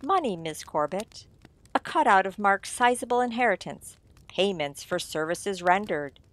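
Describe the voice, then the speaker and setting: A woman playing a shrewd writer-sleuth who explains things theatrically and deliberately in an old-time radio mystery. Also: mid-range voice, lively intonation, no echo, natural voice. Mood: smugly accusing.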